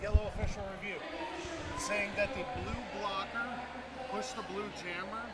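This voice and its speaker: A man reading out an official review decision in a flat, formal manner. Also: sits high, moderate pace.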